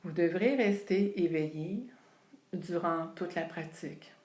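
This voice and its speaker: A woman leading a guided yoga relaxation, speaking calmly and soothingly with long pauses between phrases. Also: lowish voice. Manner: calm, unhurried, soothing delivery with long pauses. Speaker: yoga nidra instructor. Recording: roomy, echoing sound.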